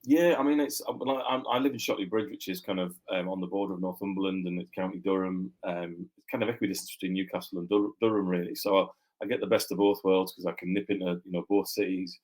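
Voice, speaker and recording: A man with a low voice and a mixed northern English accent talks as a guest author. He rambles easily, doubling back on his words with plenty of fillers. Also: steady tone, quick pace, few pauses, darkish tone, poor audio. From Yorkshire, England. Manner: rambling, with many fillers and restarts. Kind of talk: casual interview chat.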